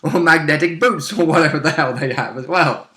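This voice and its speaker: A man's voice: on the high side, fairly quick, sharp tone. A man bantering casually as a tabletop game player, joking and chiming in. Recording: roomy, echoing indoor sound.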